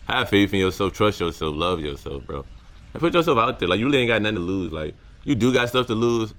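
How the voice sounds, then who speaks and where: A young man with a deep voice, talking casually to camera in a reflective vlog, full of slang and repeated words. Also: very animated, quick pace, fairly bright tone. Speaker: content creator.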